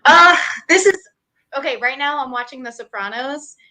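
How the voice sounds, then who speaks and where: A woman's voice: high voice, animated, normal speed, crowd noise. A young woman from New Hampshire, a doctoral student talking casually as an interview guest, chatty with frequent fillers and laughs. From New Hampshire, USA. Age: young adult.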